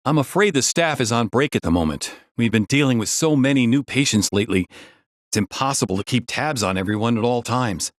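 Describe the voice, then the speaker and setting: Game clinic attendant, played by a man: mid-pitched, animated, polite, close dry sound. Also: fairly quick, no echo, lightly acted. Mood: polite, harried. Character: busy, courteous male clinic attendant in a game.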